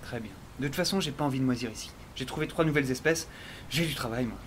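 A man's voice: medium pitch, fast talker, noisy background, lightly acted. An actor playing a sarcastic, geeky young male scientist in an audio drama, wryly telling his adventure and rambling. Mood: exasperated. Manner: wry, rambling, talks to the listener. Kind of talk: audio drama narration and dialogue.

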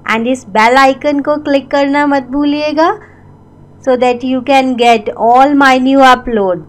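Slow, repeating Indian teacher woman. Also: on the high side. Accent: Indian English. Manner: repeats each English sentence slowly twice. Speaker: English teacher. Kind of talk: slow bilingual language lesson.

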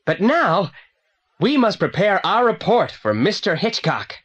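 A man's voice: high-pitched, very animated, measured pace, fairly bright tone, husky, highs cut off, full character voice. A young male actor with a British accent playing a clever, formal, confident boy detective in a children's audio drama. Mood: triumphant.

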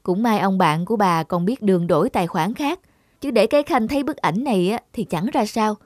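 A woman's voice: medium pitch, fairly quick, a little mellow, dry recording, studio quality, close to an everyday voice. A woman playing a gossipy young student in a cartoon, fussing in a blunt, colloquial way. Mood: indignant. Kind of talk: cartoon voice acting.

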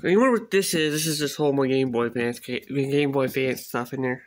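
A man chatting casually in a home video, halting and repeating himself as he shows off a collection. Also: mid-range voice. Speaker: cassette collector showing a collection. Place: small bedroom, at a closet, indoors. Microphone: handheld phone camera, speaker behind it. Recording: noisy handheld home recording, clicks.

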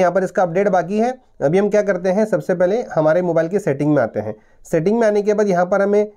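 A man's voice: high-pitched, mellow. A man in his thirties giving an explanatory tech tutorial to viewers, going step by step and repeating himself as he explains.

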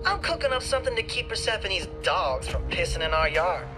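An actor playing a cocky, crude villain henchman in an animated video game, flippant, slangy and swaggering. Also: sits high, fairly quick, music in the background. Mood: smug.

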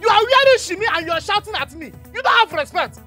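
A man's voice: very high-pitched, animated, fast, sharp tone, soft background music, heavily acted. A man with a Nigerian accent playing a combative house agent who taunts and threatens in repetitive bursts in a comic film drama. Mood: mocking threats.

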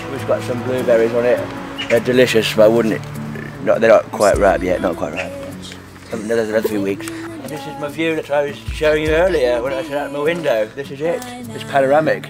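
A young man jokes his way through a tour of his shed and garden, dry and mock-serious. He swears without warning, and his voice comes through a handheld camera's mic. Music plays loudly under him. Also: medium pitch. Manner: dry, mock-serious, sudden crude outbursts. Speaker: band member. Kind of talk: jokey deadpan house tour. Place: wooden garden shed and garden outdoors. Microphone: wide-angle handheld camera. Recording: music playing over the voice.

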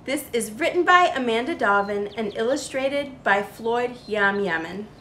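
A young woman reads a storybook to children. Her voice is high and very lively in pitch, with expressive emphasis on the words as she narrates. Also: a little slow, echoey room, a little hiss or hum. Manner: animated, sing-song emphasis. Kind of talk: expressive read-aloud storytelling for kids.

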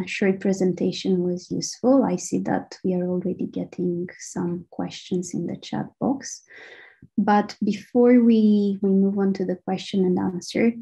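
A woman presents on a webinar over a video call, pausing often to search for words. Her voice is gravelly and husky with a mellow, dark tone. She speaks slowly. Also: medium pitch, low-quality recording. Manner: hesitant, with frequent fillers.

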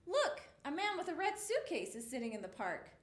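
A woman in her thirties reading a picture book aloud to young children, with expressive exclamations, in a small studio. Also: very high-pitched, brisk, echoey room. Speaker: storytime reader. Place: small indoor studio with a rainbow mural.

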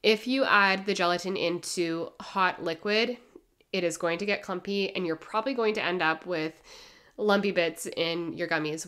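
A young woman giving a gentle, measured voice-over as she explains her home cooking step by step. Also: lowish voice. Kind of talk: calm instructional voice-over.

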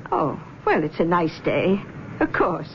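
A woman's voice: fairly low pitch, wildly swooping pitch, measured pace, full character voice. Insistent middle-aged mother. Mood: exasperated. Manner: insistent, pointed. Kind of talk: vintage radio drama acting. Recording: dull, noisy 1970s radio recording.

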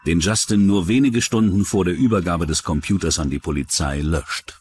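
A man's voice: low voice, normal speed, darkish tone, a little hiss or hum. A man reading scripted true-crime documentary narration. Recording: music bed under the voice. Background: music.

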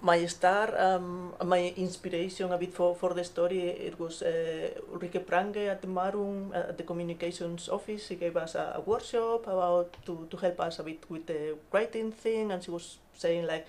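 A woman speaking as a podcast guest in casual interview style, telling stories haltingly and often repeating words. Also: fairly low pitch, steady tone, a little mellow. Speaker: podcast guest, marine scientist.

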